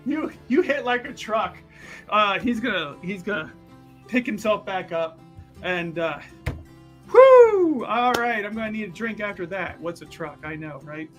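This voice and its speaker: A man with a notably high voice, playing his tabletop character as an over-excited chatterbox. He gushes and rambles on without ever pausing to read the room. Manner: excited, gushing, oblivious rambling. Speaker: player.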